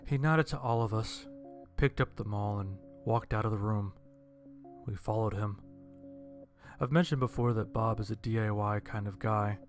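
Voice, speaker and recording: A man's voice: fairly low pitch, moderate pace, faint music behind. A man narrating a scripted first-person horror-comedy story in a dry, wry manner.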